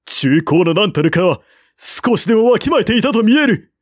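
A man's voice: high voice, very animated, normal speed, husky, no echo, studio quality, voice pushed up, exaggerated voice. A man playing an emotional, impulsive warlord king in a storybook, with dramatic, archaic lordly speech. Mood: moved, relenting.

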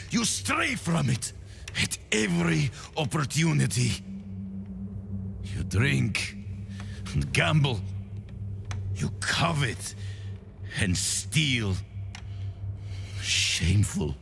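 A man playing a stern holy preacher who denounces sinners in a slow, accusatory sermon, acted for a video game cutscene. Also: on the high side, big pitch swings, vocal fry, fairly bright tone, heavily acted. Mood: condemning. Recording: music behind the voice.

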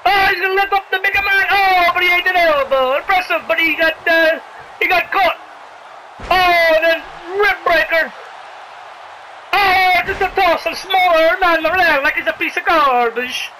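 Over clear background noise comes an extremely high-pitched, excitable wrestling commentator with an Italian accent, a man doing a comic act. He calls the action in bursts of exclamation, bumbling and tripping over his own play-by-play. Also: normal speed, poor audio. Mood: animated, bumbling.

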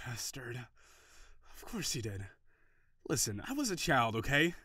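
A male voice actor gives a frazzled boyfriend home from a brutal office day, full of heavy sighs and muttered curses. He softens into gentle, teasing affection. The voice sits at an ordinary middle pitch, recorded dry with no room echo. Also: very animated, takes it slowly, breathy edge, full character voice. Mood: playful, teasing. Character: stressed, overworked office boyfriend, gruff but tender. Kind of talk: audio roleplay.

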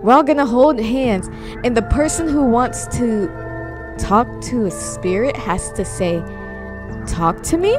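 High lively voice, woman acting exasperated young hostess, bossy, slangy, game roleplay. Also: a little slow, over music. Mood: organizing. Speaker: channel host and roleplayer.

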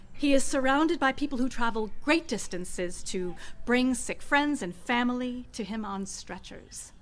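Expressive middle-aged woman preaching outdoors. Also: a little high. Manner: expressive, gesturing preacher with dramatic pauses. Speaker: pastor. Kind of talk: sermon. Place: outdoor church garden. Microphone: stand mic at a lectern.

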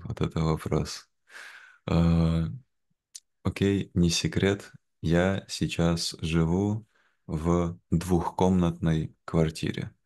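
Very deep-voiced, slow-talking young man. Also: a little mellow. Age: young adult. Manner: measured, careful, deliberate phrasing. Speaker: guest, language teacher. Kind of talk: slow, simplified conversation for language learners. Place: small home room, video call. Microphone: video call, wearing headphones.

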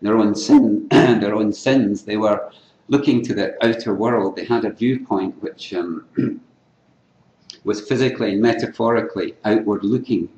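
An older Scottish man giving an unscripted academic lecture at a conference, often clearing his throat and restarting phrases. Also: lowish voice, measured pace, darkish tone, muffled recording, a little hiss or hum. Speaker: keynote lecturer in archaeology. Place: lecture hall. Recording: echoey lecture hall.

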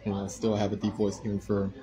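A man in his twenties chatting casually on a live stream, soft-spoken and hesitant, with many fillers. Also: lowish voice, completely flat delivery, fast talker, a crowd in the background. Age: 20s. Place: indoor room by a window.

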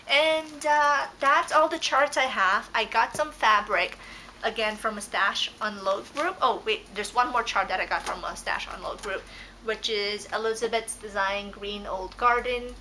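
A woman's voice: fairly high pitch, unhurried, bright, forceful tone, slight background noise, rough recording. A young woman whose first language is Dutch, chatting casually on her couch as she shows off her craft haul, rambling with many ums and self-corrections. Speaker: cross-stitch vlogger. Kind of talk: casual show-and-tell haul. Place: living room couch, indoors. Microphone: fixed camera at close range.

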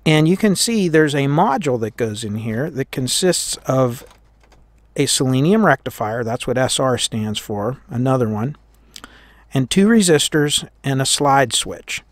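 An American man talks through hands-on technical work in an informal, plain-spoken way. His pitch sits in the middle range for a man. He explains each step as he goes, and the sound is dry, with little room echo. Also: lively intonation, a little slow. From United States. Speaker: host of a radio repair tutorial. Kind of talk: hands-on tutorial, explaining as he works. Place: indoors at a workbench.

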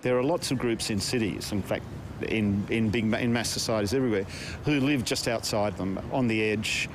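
A man's voice: lowish voice, normal speed. A man in his fifties, a sociologist, giving thoughtful, hesitant interview answers with frequent ums. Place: outdoors on a city street with trees. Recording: outdoor street noise.

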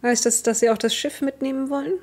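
A woman's voice: sits high, steady tone, fast talker, a little mellow, pitched above the performer's own voice. A woman playing a stern, probing female military commander in a tabletop game, speaking formally and curtly. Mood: suspicious.

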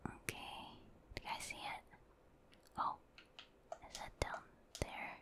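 A woman doing ASMR game commentary as a streamer, musing aloud in a hushed way and chatting to viewers. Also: slow pace. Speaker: gaming streamer.

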